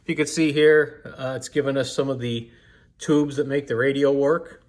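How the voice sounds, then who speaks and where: An American man giving a casual, unscripted product demonstration in a measured, explanatory way. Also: mid-range voice, steady tone. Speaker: vintage radio collector presenting a video review.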